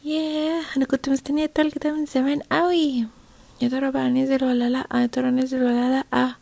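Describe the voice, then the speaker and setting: A woman voicing an excitable, whiny, frightened little girl in Egyptian Arabic, pleading and exclaiming in a children's story. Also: sits high, fast talker, vocal fry, dry recording. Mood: eager, curious.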